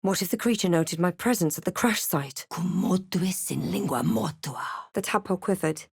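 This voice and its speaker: A woman playing a wry, guarded adventurer heroine in a fantasy game, with a measured, dramatic delivery. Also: on the low side, creaky, darkish tone, slightly rough, dry recording, studio quality, heavily acted. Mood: forceful incantation. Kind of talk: scripted fantasy game voice lines and narration.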